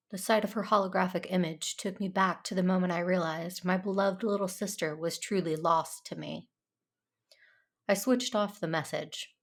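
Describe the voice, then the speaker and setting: Jedi heroine female actor: lowish voice, earnest, conflicted first-person audio drama narration. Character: troubled, dutiful Jedi woman, earnest and conflicted.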